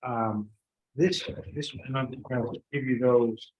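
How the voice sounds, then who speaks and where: A man's voice: medium pitch, steady tone, takes it slowly, a little mellow, lo-fi sound. Echoey man presenting to a board. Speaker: presenter of a development plan to a board. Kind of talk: informal explanatory presentation. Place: meeting room. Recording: echoey room with background noise.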